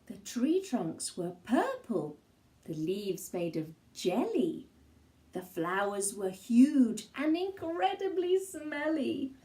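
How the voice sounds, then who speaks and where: A high-pitched woman reads a children's story in verse with great deliberation. Her delivery is theatrical and expressive, each phrase given its own space, and the room around her voice is echoey. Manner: theatrical, slow and deliberate, with gasps and asides. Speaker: storybook reader. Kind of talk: expressive children's read-aloud of rhyming picture book.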